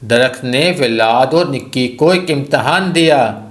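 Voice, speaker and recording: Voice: medium pitch, a little slow. A storyteller reading scripted narration for a children's tale.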